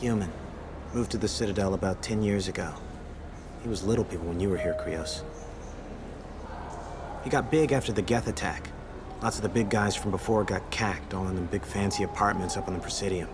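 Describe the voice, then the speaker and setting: An actor playing a nervous young street hustler and informant in a video game, slangy and prone to stammering. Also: male voice, fairly low pitch, a little fast, pauses often, natural voice. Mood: wary. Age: young adult. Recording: clear background noise, vehicle ambience.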